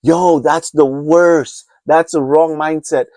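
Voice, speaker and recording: A man's voice: fairly high pitch, steady tone, slow, mellow, no room sound, studio quality. A man, speaking unscripted on his own podcast in an earnest, rambling way full of restarts and urgent appeals. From Trinidad and Tobago. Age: 50s. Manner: earnest, rambling, many restarts, preacher-like urging. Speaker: podcast host. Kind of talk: unscripted solo podcast talk.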